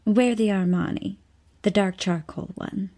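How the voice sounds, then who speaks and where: A woman's voice: medium pitch, unhurried, warm tone. An actress playing a teasing, self-assured woman scientist in an audio drama, breezy and sardonic. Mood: flirtatious. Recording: music under the voice.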